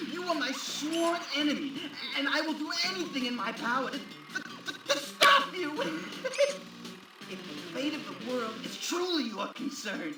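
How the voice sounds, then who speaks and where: A woman voicing a menacing, stuttering video game villain given to manic giggles, with theatrical delivery. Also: high-pitched. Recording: echoey, distant game audio over music.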